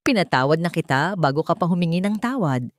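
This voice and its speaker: Babaeng gumaganap bilang maamo at mapagpatawad na babae. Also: female voice, fairly low pitch, lively intonation, a little fast, dry recording, natural voice. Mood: forgiving. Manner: sincere, tender. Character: gentle, forgiving woman executive, sincere and grateful.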